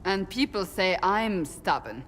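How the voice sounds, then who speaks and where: A woman voicing a blunt, principled warrior in a fantasy video game, firm and deliberate in her delivery. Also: medium pitch, expressive, a bit bright, a little hiss or hum. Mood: exasperated. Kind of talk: dramatic game cutscene acting. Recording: long hall reverb.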